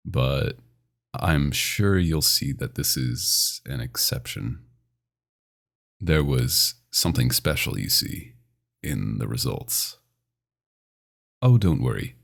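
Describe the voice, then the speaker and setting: A man playing a very deep-voiced possessive vampire doctor. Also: expressive, slow pace, pauses often, a bit bright, exaggerated voice. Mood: soft reassurance. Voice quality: very deep, gravelly. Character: male vampire doctor, dominant, possessive, smoothly menacing. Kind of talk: ASMR roleplay acting.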